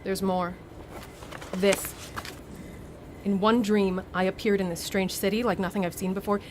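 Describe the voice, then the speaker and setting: An actress playing a haunted, anxious young student in a radio play, with a halting, uneasy delivery. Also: female voice, medium pitch, level tone, darkish tone, slight background noise, lightly acted. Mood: agitated. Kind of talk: dramatic radio play acting.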